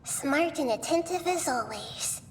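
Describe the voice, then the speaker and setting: High, gentle girlish wise deity. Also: lively intonation, far from the mic, slight background noise. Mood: gentle, reassuring. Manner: measured, articulate, kindly explaining. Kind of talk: video game voice acting.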